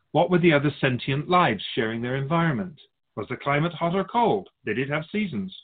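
Man playing a lively-pitched, husky otherworldly soul keeper. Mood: patient, instructive. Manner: didactic, measured explaining, speaks of humans as outsider. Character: otherworldly keeper of souls, patient, all-knowing teacher of humans. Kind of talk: scripted radio drama dialogue. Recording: dull phone-like narrow sound.

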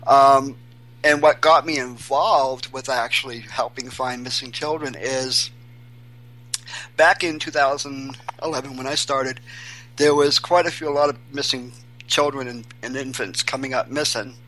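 A man from Maine, guest on an interview show, talking haltingly with many restarts and fillers. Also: mid-range voice, fairly even intonation, sharp tone, poor audio. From Maine, USA. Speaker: guest, volunteer searching for missing children. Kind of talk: casual interview.

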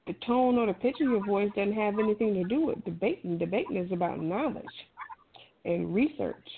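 A woman's voice: mid-range voice, a little fast. A middle-aged woman talking casually, giving emphatic, encouraging advice in a colloquial way. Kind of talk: casual conversational talk, advice. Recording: thin phone-like sound with clear noise.

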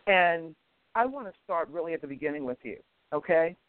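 A male host with a hoarse voice, apologizing and rambling as he runs a casual call-in talk show. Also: a little high, animated, brisk, dry recording. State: hoarse, lost his voice. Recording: tinny phone line.

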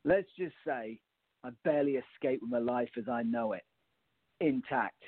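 A man's voice: medium pitch, moderate pace, breathy edge, dry recording, close to an everyday voice. A man playing a nervous, exasperated young bachelor in a farce radio play, flustered and sarcastic, on muffled, narrow-band audio. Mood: shaken, exasperated.

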